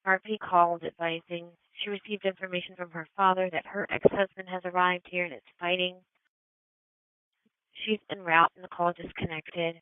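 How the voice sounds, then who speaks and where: A woman's voice: fairly low pitch, little pitch movement, moderate pace, clear, resonant tone, dry recording. Tinny-radio female police dispatcher. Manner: clipped, procedural. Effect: police radio transmission. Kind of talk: radio dispatch calls with codes and addresses. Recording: tinny narrow-band police scanner radio.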